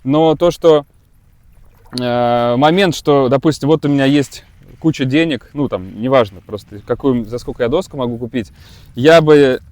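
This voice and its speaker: A man's voice: medium pitch, moderate pace, a little mellow, no room sound. A man who runs a kite school, giving a casual, rambling gear review with many hesitations and restarts.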